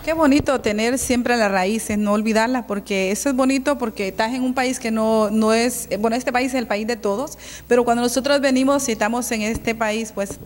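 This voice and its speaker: Middle-aged lady interviewer, fairly high voice, slight reverb and hiss. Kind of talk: interview questions. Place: small studio set, indoors. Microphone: handheld mic.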